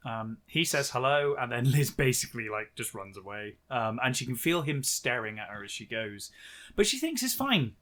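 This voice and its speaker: A man's voice: medium pitch, sing-song, normal speed, fairly bright tone. A man co-hosting a podcast, casually recapping a book with dry, sarcastic commentary. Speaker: podcast co-host.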